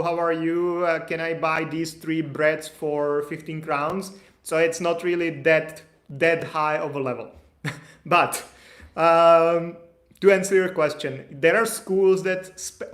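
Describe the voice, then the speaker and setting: A man in his thirties hosting a live stream, reading out viewer questions and answering them in a loose, chatty way, with lots of fillers and restarts. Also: a little high. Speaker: immigration consultant answering live chat questions. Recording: echoey room.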